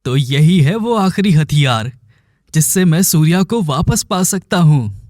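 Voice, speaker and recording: A man's voice: on the high side, lively intonation, a little mellow, no echo, clean studio sound. A man playing an earnest, determined young villager in a cartoon story, a devoted brother speaking in dramatic vows. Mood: resolute. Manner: dramatic, vowing, deliberate.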